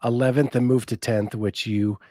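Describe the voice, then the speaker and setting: A man's voice: mid-range voice, steady tone, moderate pace, dark tone, no room sound. Easygoing male podcast host, dry sound. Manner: easygoing, asks questions, reads listener comments. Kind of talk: casual podcast interview.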